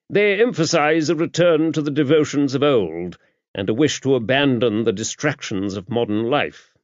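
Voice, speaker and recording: A man's voice: mid-range voice, no echo, natural voice. A man voicing an earnest, talkative English bishop in an audiobook, formal and emphatic. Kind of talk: audiobook character dialogue. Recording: dull, muffled lo-fi audio.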